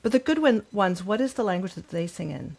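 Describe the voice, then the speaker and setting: A woman's voice: medium pitch, animated, a little fast, gravelly, a little mellow. A woman hosting a conversational interview, chatting in a friendly, attentive way. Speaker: podcast host.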